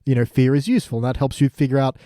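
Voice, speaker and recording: A man's voice: medium pitch, racing, warm tone, no room sound, clean studio sound. A man co-hosting a podcast, recapping TV in casual, jokey banter and slipping into mimicry in asides. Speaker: podcast co-host.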